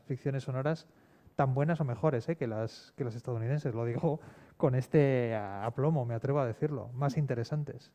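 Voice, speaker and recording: A man's voice: mid-range voice, dark tone. A man with an accent from Spain speaking as a scriptwriter guest in an unscripted panel discussion, informal and often correcting himself. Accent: Spanish (Spain).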